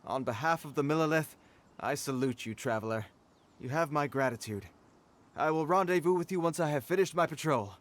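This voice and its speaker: A man's voice: a little high, lightly acted. Man playing an earnest, formal patrol soldier. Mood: proud, grateful. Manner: formal, stiff, measured. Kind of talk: video game voice acting. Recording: game music under the voice.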